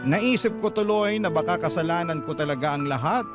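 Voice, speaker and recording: A male radio host with a Filipino accent and a fairly high voice reads a letter as dramatic narration. His delivery is emotive, phrase by phrase, with music clearly behind him. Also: expressive, a little fast. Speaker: radio show host reading a listener's letter.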